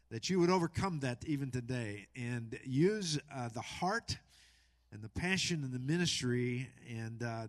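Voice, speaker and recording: Middle-aged man, pastor at the pulpit, halting delivery full of fillers, average pitch. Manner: halting, with frequent filler pauses. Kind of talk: church introduction and spoken prayer. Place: church sanctuary.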